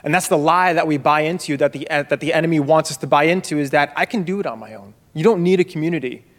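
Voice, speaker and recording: A young man giving a loud, emphatic testimony to a church congregation, holding a handheld mic low, in a reverberant sanctuary. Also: fast. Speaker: young adult group member giving testimony. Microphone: handheld microphone held low.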